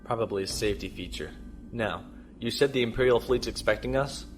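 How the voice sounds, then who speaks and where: A man playing a calm, shrewd starship captain in a science fiction audio drama, speaking in a measured, deliberate way. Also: mid-range voice, soft background music, lightly acted. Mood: resolute.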